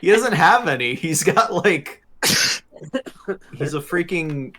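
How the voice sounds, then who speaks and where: A man's voice: a little high, animated, slow, fairly bright tone, slight background noise. A man playing a tabletop role-playing game, chatting and joking casually with friends. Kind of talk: casual chat among friends, joking in and out of character.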